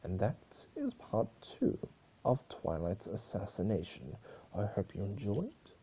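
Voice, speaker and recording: Dark, husky man narrating a story. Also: lowish voice, wildly swooping pitch, measured pace. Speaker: amateur story reader. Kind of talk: dramatic scripted narration.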